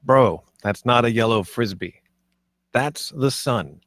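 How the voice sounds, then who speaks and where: Cartoon straight man, twenty-eight male reader: unhurried, hesitant, deadpan, close dry sound. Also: medium pitch, dry recording. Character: hesitant, reasonable 28-year-old man, deadpan roommate. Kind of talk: animated comedy script table read.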